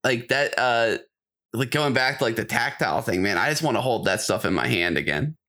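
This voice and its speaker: Male co-host, mid-pitched and bright, loose slangy conversational delivery that wanders as it goes. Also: expressive, a little fast. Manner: rambling, slangy, frequently saying "like". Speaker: podcast co-host. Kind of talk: casual chat.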